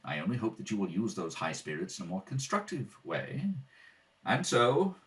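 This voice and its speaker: Picked up from a little way off, a low and very expressive male voice gives us a man acting a dignified gentleman butler. He is polite, earnest and formal, choosing his words with care, as in a homemade radio play. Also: recorded from a distance. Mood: gentle, grave. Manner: polite, formal, measured, hesitant at times. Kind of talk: amateur radio play read-through. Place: at a kitchen table at home.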